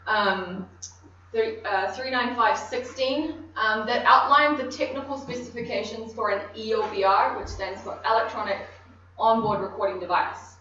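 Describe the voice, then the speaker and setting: A woman with a New Zealand accent giving a technical conference presentation, measured and explanatory with frequent ums. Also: on the high side, sharp tone, a little hiss or hum. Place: conference room. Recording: echoey room, distant mic, dull.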